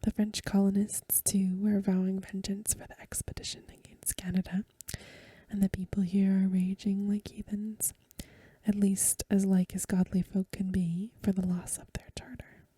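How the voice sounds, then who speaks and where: A woman softly whispering a story for ASMR in a breathy voice, with gentle, careful phrasing, close to the microphone. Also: medium pitch, flat delivery, moderate pace, no room sound. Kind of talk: soft-spoken ASMR story reading.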